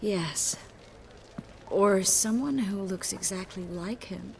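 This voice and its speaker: A woman playing a composed, worldly sorceress in a video game, with a measured, dry, wry delivery. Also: mid-range voice, vocal fry, darkish tone. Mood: dry, thoughtful. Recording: faint ambient noise behind.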